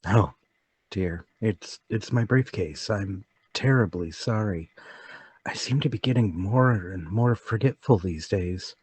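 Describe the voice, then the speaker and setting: A man's voice: lowish voice, measured pace, darkish tone, slightly rough, no echo. A man playing a meek, forgetful, troubled former traveling salesman in a radio play, polite, apologetic and sorry for himself. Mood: flustered, apologetic. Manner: polite, apologetic, self-pitying. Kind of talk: radio play table read.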